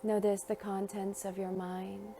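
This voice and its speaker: A woman narrating a guided meditation in a gentle, soothing, unhurried manner, leaving long pauses between phrases. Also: medium pitch, level tone, a little hiss or hum.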